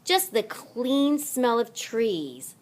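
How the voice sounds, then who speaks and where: A woman narrating a children's read-along story aloud in an expressive, deliberate manner. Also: a little high. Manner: deliberate, animated delivery for children.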